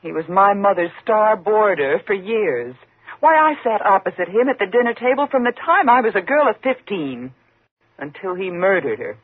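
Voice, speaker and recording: A woman's voice: medium pitch, very animated, moderate pace, breathy edge, dry recording, narrow, tinny audio. An actress playing a frightened single woman in a 1950s radio suspense drama, tense and dramatic in her delivery. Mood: fearful, insistent. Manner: tense, dramatic, faltering when flustered.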